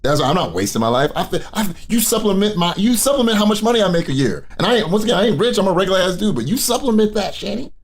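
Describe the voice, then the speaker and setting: Blunt, fast-talking man. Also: sing-song. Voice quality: deep voice. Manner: blunt, profane, mocking, repetitive. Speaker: commentary YouTuber reacting to clips. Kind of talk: casual reaction commentary.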